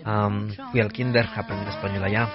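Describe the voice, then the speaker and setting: A man's voice: lowish voice, level tone, a little fast, dull audio, music in the background. A young man from the United States talking casually as a radio guest, hesitant, with many restarts and fillers. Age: young adult. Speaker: guest, board operator.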